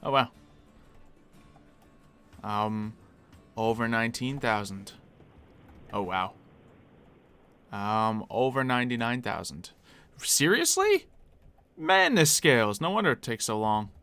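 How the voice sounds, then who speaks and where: Bright-voiced chatty streamer man. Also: mid-range voice, very animated, clear, resonant tone, soft background music. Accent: slight Philadelphia. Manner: chatty, musing aloud, quick to laugh. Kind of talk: casual live-stream chat while gaming.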